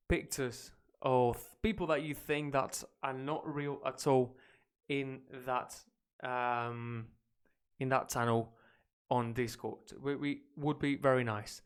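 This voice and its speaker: Spanish-born English teacher on a live stream, British-leaning accent, lively chatty delivery, mid-pitched voice. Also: male voice, clean tone. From Spain. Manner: energetic, chatty, reacting to clips.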